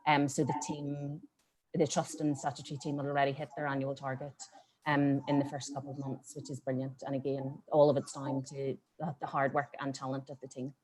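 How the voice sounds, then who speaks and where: A woman's voice: rumbling low, level tone, a little fast, a little mellow, slight background noise, poor audio. A woman in a charity fundraising role, speaking in a panel discussion in an upbeat, enthusiastic way with frequent fillers.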